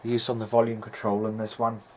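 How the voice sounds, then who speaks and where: A man with a lowish voice talks informally through hands-on repair work. He is hesitant and seems to work things out as he speaks. The recording carries noticeable background noise. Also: quick pace, low-quality recording. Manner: hesitant, thinking aloud. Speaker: hobbyist radio restorer, presenter. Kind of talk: informal workbench commentary. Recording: clear steady background noise.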